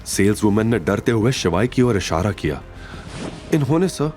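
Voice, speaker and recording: A man's voice: fairly low pitch, normal speed, a little mellow. A man narrating a scripted audio drama in a dramatic storytelling style. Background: background music.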